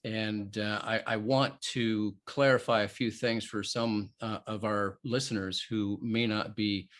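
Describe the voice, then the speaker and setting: A man's voice: lowish voice, fairly even intonation, a little slow, a bit bright. A man hosting a podcast interview, speaking conversationally and phrasing his questions carefully. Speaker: podcast host and interviewer, former detective.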